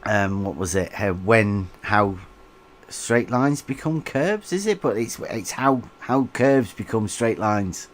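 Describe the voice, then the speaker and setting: Slow, low-voiced amused man. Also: lively intonation. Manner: amused, chuckling at comments. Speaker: livestream co-host. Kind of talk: casual chat reading out viewer comments.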